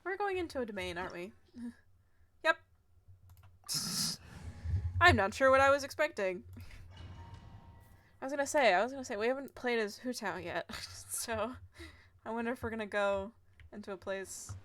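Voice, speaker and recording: A young woman live-streaming a game, giggling and reading game text aloud haltingly. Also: on the high side, a bit bright, slight background noise. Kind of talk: casual live-stream commentary.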